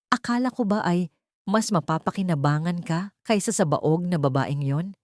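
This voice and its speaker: A woman's voice: lowish voice, lively intonation, moderate pace, no echo, clean studio sound, close to an everyday voice. A woman voicing a cruel, scheming older matriarch in an audio drama, scolding and commanding. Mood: scornful, angry.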